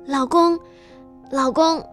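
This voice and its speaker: Woman acting a slow, heartbroken young woman. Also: sits high, steady tone. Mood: longing, grieving. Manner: tender, slow, sorrowful. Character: devoted heartbroken young woman saying farewell to lover. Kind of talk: radio drama acting. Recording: music behind the voice.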